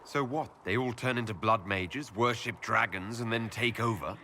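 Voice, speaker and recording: An actor playing a composed, dry-witted hero and leader in a fantasy video game. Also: male voice, on the low side, sharp tone. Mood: dryly amused. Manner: measured, pragmatic, dryly joking. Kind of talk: video game dialogue acting. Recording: faint background noise.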